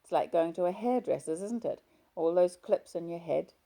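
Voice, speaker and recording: A woman's voice: lowish voice, moderate pace, creaky, mellow. A woman voicing a blunt, chatty hospital patient. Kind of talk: audiobook character voice.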